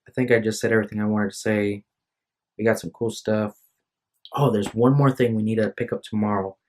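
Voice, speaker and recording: Young man, tired, lowish voice, hesitant and apologetic, restarts sentences, casual vlog, phone mic, room at home. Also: steady tone, a little fast, darkish tone. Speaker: vlogger. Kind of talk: rambling casual vlog to camera. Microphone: phone microphone.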